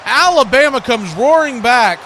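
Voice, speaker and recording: A man's voice: very high-pitched, lively intonation, measured pace, bright, forceful tone, a little hiss or hum. A man giving live play-by-play commentary on a football game.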